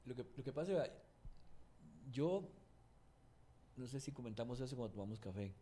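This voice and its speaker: A man's voice: medium pitch, lively intonation, moderate pace, dark tone, slight background noise. An older man from Cuba, a singer-songwriter guest telling rambling anecdotes with many restarts. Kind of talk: anecdotal interview talk.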